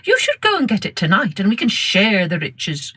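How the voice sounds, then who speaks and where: A woman's voice: medium pitch, wildly swooping pitch, normal speed, pushed and bright, slightly rough, exaggerated voice. A woman voicing a greedy, scornful farmer's wife in a children's story, exclaiming and insistent. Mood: scheming.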